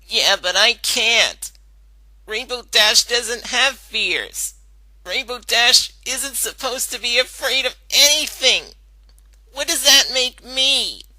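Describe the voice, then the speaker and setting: A woman voicing a stubborn young cartoon pony who is frightened and in pain, speaking in an emotional, halting way for a fan-fiction reading. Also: fairly high pitch, bright, forceful tone, heavily acted, low-quality recording. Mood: tearful, afraid.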